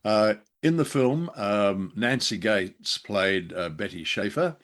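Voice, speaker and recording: Low-voiced man in measured discussion. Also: a bit bright. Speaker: podcast co-host reviewing old radio drama. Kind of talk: conversational critical discussion.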